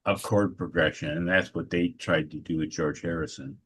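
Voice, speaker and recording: A man's voice: low voice, steady tone, moderate pace, a bit bright, husky. A man chatting casually and conversationally as a panelist on a podcast.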